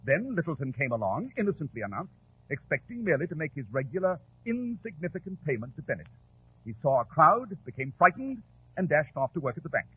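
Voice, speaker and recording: An actor playing a brilliant, coolly superior detective in a 1940s radio drama, speaking precisely and theatrically. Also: male voice, on the high side, very animated, fast talker, breathy edge, exaggerated voice. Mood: calm, explanatory. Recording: tinny, noisy 1940s radio recording.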